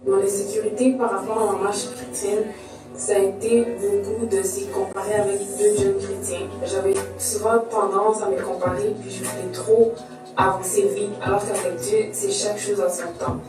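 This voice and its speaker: A woman's voice: mid-range voice, level tone, moderate pace. A young woman in her twenties reflecting on her faith in a hesitant, thoughtful way. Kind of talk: recorded youth testimony. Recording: very echoey room, from far off. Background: music.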